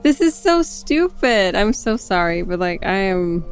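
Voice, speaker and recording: A woman's voice: medium pitch, very animated, faint music behind. Incredulous woman with a Swedish accent. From Sweden. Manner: reacts with quick, incredulous questions, lots of "like". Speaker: podcast co-host. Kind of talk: casual chat.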